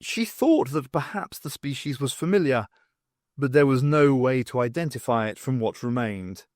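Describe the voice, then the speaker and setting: A man's voice: mid-range voice, lively intonation, normal speed, a little mellow, dry recording, clean studio sound. A man reading a novel aloud as an audiobook narrator, in scripted storytelling style.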